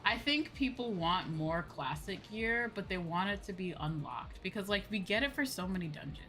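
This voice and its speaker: A woman livestreamer chatting casually as she plays, emphatic and playfully self-deprecating, with an animated way of talking. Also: medium pitch, normal speed. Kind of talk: casual gaming stream chat. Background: music.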